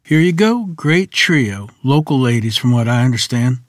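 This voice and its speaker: Medium-pitched actor as eager, friendly young store clerk, period drama read, dry close sound. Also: male voice, animated, normal speed, no echo, lightly acted. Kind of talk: screenplay table read.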